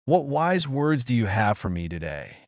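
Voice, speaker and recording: A man voicing a lively, curious little boy rabbit in a children's story. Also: medium pitch, moderate pace, no room sound, muffled recording, close to an everyday voice. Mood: chirpy, eager. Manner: chirpy, eager.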